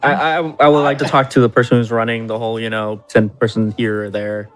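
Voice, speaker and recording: A man's voice: mid-range voice, level tone, quick pace, soft background music. A man playing a tabletop role-playing game, bantering casually and jokingly in character.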